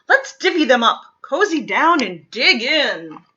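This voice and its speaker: A woman voicing a friendly badger, speaking loudly and cheerfully in a storybook reading. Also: high voice, sing-song, a little slow, dull audio, exaggerated voice. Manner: loud, cheerful offering. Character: friendly badger bringing treats, grinning.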